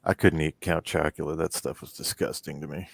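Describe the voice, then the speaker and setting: A man's voice: rumbling low, steady tone, a little fast, vocal fry, husky, dry recording. A man co-hosting a geek culture panel in a casual, conversational style. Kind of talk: casual chat.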